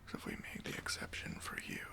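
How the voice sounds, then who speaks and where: A man playing a stingy, demanding boss in an ASMR roleplay, speaking in a breathy, hesitant whisper with condescending politeness, close to the microphone. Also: brisk, pushed and bright. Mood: condescending, fussy. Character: stingy, demanding male boss giving a job interview.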